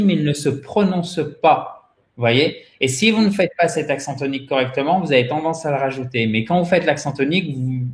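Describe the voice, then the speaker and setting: A man's voice: on the high side, echoey room. A man giving an interactive pronunciation lesson, explaining didactically and addressing his learners directly, a native French speaker. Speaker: English pronunciation teacher, host.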